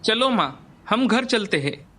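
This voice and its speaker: A man doing a humble high-pitched poor boy. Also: very animated, slow pace, pushed and bright, husky, heavily acted. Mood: humble, dignified. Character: humble, proud poor boy, good-hearted nephew.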